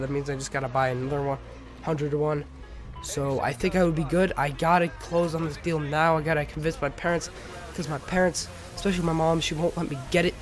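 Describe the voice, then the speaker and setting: A teenage male, slightly sick, giving casual, rambling and halting gameplay commentary as host of a gaming video. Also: on the high side. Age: teenager, about 15. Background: music.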